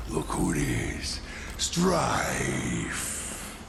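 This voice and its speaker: Game villain: brutish gloating demon, extremely low, croaking, menacing and mocking. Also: male voice, echoey room, full character voice. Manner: taunting, menacing. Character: huge brutish gloating demon warlord, crude and threatening. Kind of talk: dramatic game villain acting. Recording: very noisy, rumbling background.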